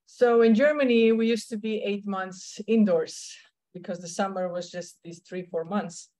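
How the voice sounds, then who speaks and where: A woman's voice: medium pitch, steady tone, moderate pace, mellow. A woman with a Slovenian accent, a tennis coach telling her story in an interview, with many fillers and restarts. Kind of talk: conversational interview answers.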